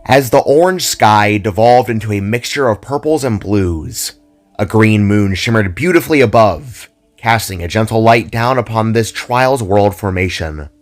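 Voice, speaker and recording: A man with a fairly low voice narrates a scripted fantasy story. Faint music plays behind his reading. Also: normal speed.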